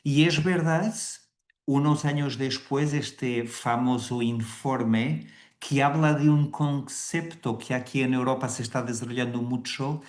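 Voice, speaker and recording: A man with a Portuguese accent speaks slowly and carefully, pausing on fillers and restarting words as he presents. He is a Jesuit priest on a video call. His voice carries the echo of the room he sits in. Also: mid-range voice, steady tone. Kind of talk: unhurried webinar presentation. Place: indoors in Rome.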